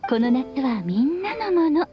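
A woman's voice: sits high, sing-song, a little slow, lo-fi sound. A woman playing a playful, loving, long-lived witch mother, speaking tenderly to her child like a storyteller in an anime game. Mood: wistful, loving. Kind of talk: Japanese anime game voice acting. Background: background music.

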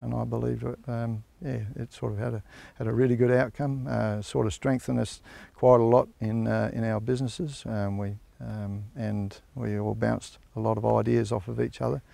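Gravelly, flat-voiced Australian farmer. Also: male voice, mid-range voice, no echo. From South Australia. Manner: halting, unhurried, frequent fillers. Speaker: grain grower. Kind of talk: documentary interview answers. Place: outdoors on a farm by a ute.

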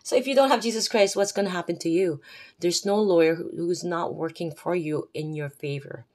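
A woman's voice: on the low side, fairly quick, a little mellow. A woman with a Filipino accent giving an unscripted, rambling talk about her faith to her viewers, with chatty asides. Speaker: faith vlogger. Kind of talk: unscripted religious talk to camera.

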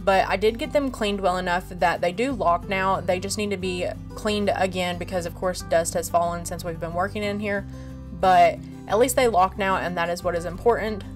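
A woman's voice: fairly low pitch, moderate pace, faint music behind. Plainspoken woman touring a renovation. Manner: plainspoken, explains plans step by step. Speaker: homesteading renovation vlogger. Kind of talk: casual walkthrough narration. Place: empty farmhouse room under renovation.

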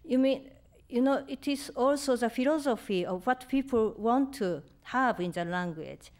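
Japanese-accented woman, halting and thoughtful. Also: on the high side, lively intonation. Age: 50s. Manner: halting, searching for words, with soft laughs. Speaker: author answering audience questions. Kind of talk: live Q&A at a reading. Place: lecture room on stage. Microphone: stand mic in front.

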